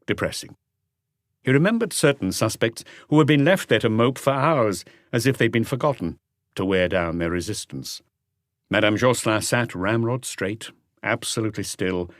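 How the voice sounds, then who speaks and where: A man reading scripted literary narration for an audiobook in a measured, restrained manner. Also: lowish voice, dry recording, studio quality.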